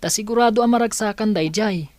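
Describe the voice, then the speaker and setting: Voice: mid-range voice, fast, darkish tone, no echo, natural voice. An actor with an Ilocano accent playing a determined, hopeful young student in a radio drama, speaking earnestly.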